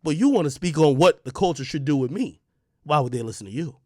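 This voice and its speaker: A man's voice: on the high side, animated, fast talker, darkish tone, no room sound. Heated confrontational man, dry close sound. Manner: confrontational, heated, repeats points. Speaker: online media personality. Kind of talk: direct-to-camera rant.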